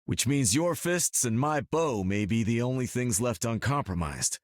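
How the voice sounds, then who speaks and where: An actor playing a confident, wisecracking superhero archer in a video game, with casual, wry American banter. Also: male voice, medium pitch, expressive, moderate pace, no room sound, studio quality, natural voice. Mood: focused.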